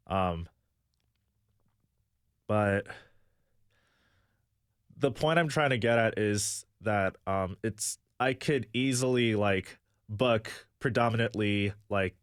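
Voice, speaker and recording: A man's voice: on the low side, a bit bright, no echo, clean studio sound. A young man from the United States, a guest on a radio talk show, answering earnestly and thinking aloud with many fillers. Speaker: guest, music booker.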